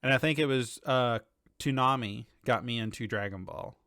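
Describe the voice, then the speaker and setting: A man in his thirties co-hosts a casual podcast in a mid-range voice. He talks informally and conversationally, thinking out loud as he goes. The sound is very dry, with no room around it. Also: mid-range voice, moderate pace, clear, resonant tone, no echo. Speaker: podcast host. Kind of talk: casual chat about TV and games.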